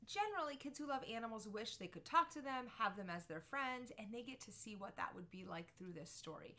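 A woman's voice: fairly high pitch, sharp tone. A woman in her thirties presenting a book recommendation to camera with an emphatic, storytelling delivery. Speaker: book review channel host for parents and teachers. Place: small furnished room with bookshelves, indoors.

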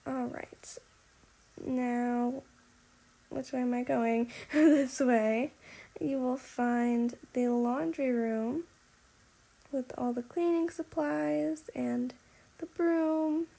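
A young woman narrates a casual house tour in a video game. Her voice is high with plenty of vocal fry. She talks in a chatty, apologetic, giggly way. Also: fairly even intonation, extremely slow, mellow, rough recording.

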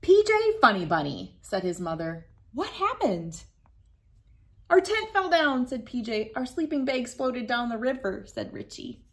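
Slow, gentle woman reading to kids. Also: fairly high pitch, big pitch swings. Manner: gentle, playful, laughing often. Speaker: children's book reader. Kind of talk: read-aloud storytime for kids. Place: bright room indoors.

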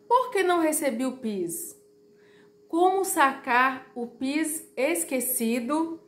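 A slightly hoarse woman in her thirties talks straight to her viewers with a fairly high voice. She is chatty and direct and repeats her warnings. She is recorded close to a phone in a small, echoey room at home. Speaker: YouTuber. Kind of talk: informal explainer vlog.

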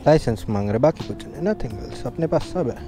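A man's voice: mid-range voice, lively intonation, brisk. A young man, chatting casually while riding a motorbike outdoors and dropping in English phrases, recorded on a bike-mounted action camera. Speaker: motorcycle vlogger. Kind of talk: casual ride commentary. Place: outdoors on a moving motorbike on highways and town roads. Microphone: helmet or bike-mounted action camera. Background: background music.

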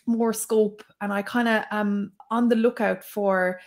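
A woman's voice: mid-range voice, a little slow, creaky. A young adult woman from Waterford with an Irish accent, chatting animatedly as a guest on a podcast over a video call. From Ireland. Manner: animated, enthusiastic, gestures while talking. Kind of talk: casual podcast interview. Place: small room at home. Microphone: video call, earphones.

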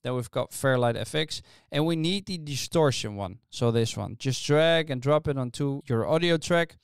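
A middle-aged man casually explaining software step by step as a tutorial host, speaking close into a studio microphone. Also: mid-range voice, lively intonation, normal speed, creaky, clear, resonant tone, dry recording. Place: small indoor studio, dry. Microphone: close studio microphone on a stand.

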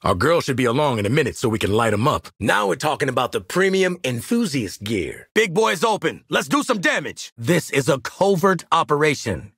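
An actor with an American accent playing a gruff, sardonic assassin in a video game, blunt and slangy, with a raspy voice. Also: male voice, fairly high pitch, sing-song, moderate pace, hardly any pauses, fairly bright tone, no echo, clean studio sound, heavily acted. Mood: urgent, shouting. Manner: brash, blunt, street-smart slang, barking callouts. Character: gruff, no-nonsense male assassin, sardonic squad sharpshooter. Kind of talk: video game combat voice lines.

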